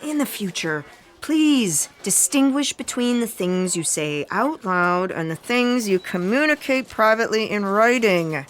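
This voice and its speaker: An actress playing an imperious, exasperated editor-in-chief in comic audio theatre, curt and impatient. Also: female voice, medium pitch, lively intonation, unhurried, lightly acted. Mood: curt, commanding. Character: bossy, exasperated female editor-in-chief of destiny broadcast.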